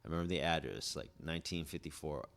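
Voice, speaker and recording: A man in his forties with an extremely low, deep voice chats casually as a podcast guest. He rambles in a laid-back way, with lots of "like" and "dude". He grew up in Southern California after being born in Indonesia. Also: fast, gravelly, a bit bright. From born in Indonesia, raised in Southern California. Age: 40s. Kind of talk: casual chat.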